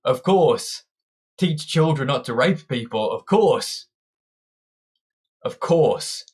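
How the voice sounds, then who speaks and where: A man's voice: mid-range voice, expressive, slow pace, darkish tone, husky. A young man giving an opinionated political monologue, combative and ranting, often restarting his sentences. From Britain. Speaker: political commentator.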